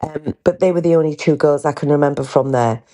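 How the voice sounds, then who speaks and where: A woman's voice: low-pitched, fast talker, mellow. An older woman from North East England with a faint Geordie accent, hosting a podcast and chatting candidly with frequent ums and restarts. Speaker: podcast host. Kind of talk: candid casual storytelling.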